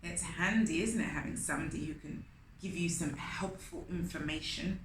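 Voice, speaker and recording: A woman's voice: on the low side, normal speed. Lady doing storytime for little kids, takes her time, roomy sound w a bit of hiss, asks lots of questions. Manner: expressive, asks the listeners questions. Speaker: children's storyteller. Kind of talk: animated read-aloud storytelling for young children. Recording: roomy echo with faint noise.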